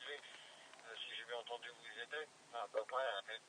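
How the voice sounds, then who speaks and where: A man's voice: medium pitch, steady tone, brisk. Man rambling over noisy radio. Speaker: amateur radio operator. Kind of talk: casual rambling radio chat. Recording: noisy two-way radio recording.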